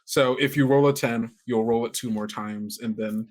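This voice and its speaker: A man's voice: mid-range voice, fairly even intonation, moderate pace. A man hosting a tabletop role-playing game, casually explaining rules to players.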